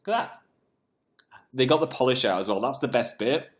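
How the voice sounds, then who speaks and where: A British man hosts a casual live stream, reading out and answering chat comments. His mid-pitched voice is a little croaky and froggy, still coming back after he lost it. He rambles along in a jokey, self-mocking way. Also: lively intonation, fairly quick. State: froggy, croaky voice recovering from voice loss.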